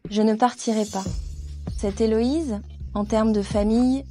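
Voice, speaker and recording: A woman's voice: on the high side, steady tone, unhurried, warm tone, faint music behind, natural voice. An actress playing a haughty, jealous ex-girlfriend who feels wounded and jilted, in dubbed melodrama style. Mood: hurt, indignant.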